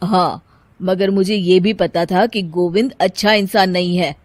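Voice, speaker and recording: A woman voicing a patient, concerned family member in a cartoon story, speaking in a measured, earnest way. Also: fairly low pitch, fairly even intonation, no echo. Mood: patient, forgiving. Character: concerned, patient mother-in-law and gentle forgiving husband. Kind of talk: Hindi cartoon story voice acting.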